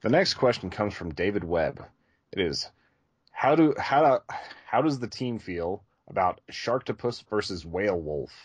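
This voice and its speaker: Low-voiced man, dry close sound. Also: expressive, unhurried, husky, no echo, highs cut off. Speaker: podcast co-host. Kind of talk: casual chat.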